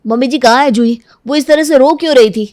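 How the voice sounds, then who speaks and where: A woman's voice: high-pitched, fast, darkish tone, dry recording, studio quality, close to an everyday voice. A woman voicing a doting, earnest young fiancé in a cartoon, a coddling mama's boy. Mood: concerned. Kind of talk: Hindi cartoon story acting.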